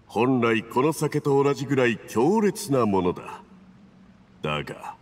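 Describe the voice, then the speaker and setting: A man's voice: medium pitch, long reverb tail. A man voicing a scheming, domineering male agent in an anime video game, smug, patronizing and commanding. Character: scheming, domineering Fatui agent toasting his accomplice.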